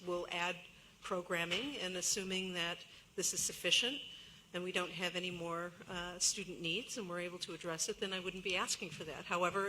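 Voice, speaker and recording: A woman school administrator explaining a budget at a public meeting in a measured way, with frequent fillers and restarts. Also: medium pitch, sharp tone, slight background noise, rough recording. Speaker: school administrator answering questions.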